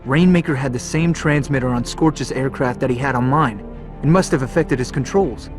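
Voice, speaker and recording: A man's voice: mid-range voice, normal speed, lightly acted. Man playing earnest, determined young fighter pilot. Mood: resolute. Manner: earnest, resolute. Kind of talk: video game cutscene acting and dramatic monologue. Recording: dry studio voice over music.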